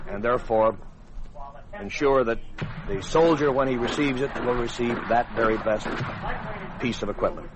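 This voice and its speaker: A middle-aged American man, an army officer, gives formal, measured explanations in an interview. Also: mid-range voice, over music, rough recording. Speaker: army colonel, head of a test board, interviewee. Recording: outdoor demonstration rehearsal noise behind, crowd. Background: military demonstration rehearsal, crowd sounds.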